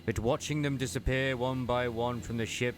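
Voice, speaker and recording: A man's voice: medium pitch, fairly even intonation, moderate pace, noisy background. A man giving a dramatic, scripted narration of a story as a fan dub. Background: music.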